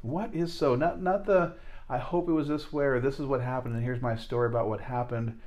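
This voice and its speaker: A man in his forties talks as an interview guest. He is earnest and often halting, restarting his sentences and filling pauses as he works toward his point. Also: mid-range voice, fairly even intonation, a little mellow, lo-fi sound. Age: 40s. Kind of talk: casual interview talk.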